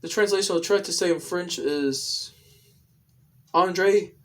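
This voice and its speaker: A casual online video creator speaks very slowly. The delivery is halting and deliberate, wandering through explanations in a rambling way. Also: mid-range voice, a bit bright.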